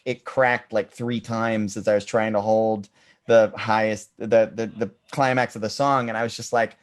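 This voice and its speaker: A man with a deep voice co-hosting a podcast in casual chat, hesitant and full of ums and likes. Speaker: podcast co-host.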